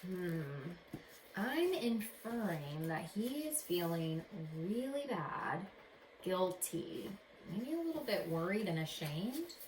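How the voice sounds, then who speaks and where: A woman teacher reading a storybook aloud to children in an expressive way. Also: on the low side, slow. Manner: expressive, addresses young listeners with questions. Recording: echoey room with faint background noise.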